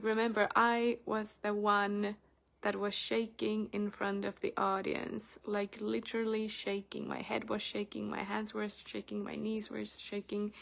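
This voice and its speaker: A young woman with a Finnish accent, chatting casually in a personal vlog with many fillers, getting animated when she retells a story. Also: mid-range voice, gravelly. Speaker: spiritual channel host.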